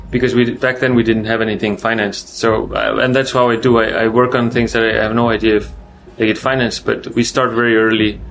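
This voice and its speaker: A man from Iceland with an Icelandic accent, a film director giving festival interview answers in a halting way, searching for words and often restarting. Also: medium pitch, a bit bright, a little hiss or hum, low-quality recording.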